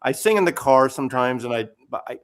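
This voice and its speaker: A man's voice: medium pitch, animated, quick pace. A man in a casual chat, rambling and self-deprecating as he shows records.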